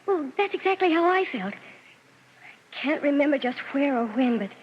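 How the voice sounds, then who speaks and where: An actress playing an anxious, earnest young woman who speaks haltingly in an old radio mystery drama. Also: high-pitched, lively intonation. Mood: fearful certainty. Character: anxious, insistent young woman seeking a murderer. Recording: dull old radio with faint noise.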